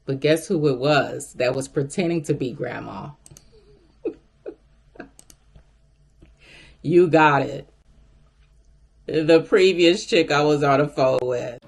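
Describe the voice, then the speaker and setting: A woman with a deep voice telling a story to her viewers in a casual, animated way. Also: slow, pauses often. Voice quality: deep, gravelly voice. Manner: chatty and animated, addresses viewers directly. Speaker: vlogger.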